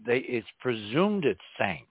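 A man's voice: medium pitch, sing-song, a little slow, dry recording. A man hosting a talk show, chatting casually and cutting in eagerly with questions. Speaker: host. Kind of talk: casual interview chat. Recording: tinny phone line.

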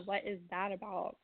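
A woman in her forties with a gravelly, creaky edge to her voice. She talks in a chatty, hesitant way, with many fillers. She sounds dry and tinny, as if over a phone line. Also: mid-range voice, dry recording. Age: 40s. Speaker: psychic medium guest. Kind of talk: live call-in reading.

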